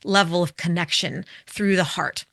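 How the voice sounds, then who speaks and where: Bright, crisp woman, a speaking coach, lively and demonstrative, close dry studio mic. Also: fairly low pitch, normal speed, no echo. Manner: animated, demonstrates with her voice, asks questions. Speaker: public speaking expert, guest. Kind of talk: explaining and coaching in conversation.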